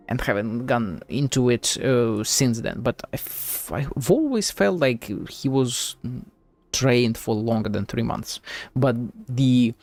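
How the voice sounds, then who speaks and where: A man's voice: mid-range voice, a little mellow. A man streaming a game with casual, slangy, laid-back live commentary.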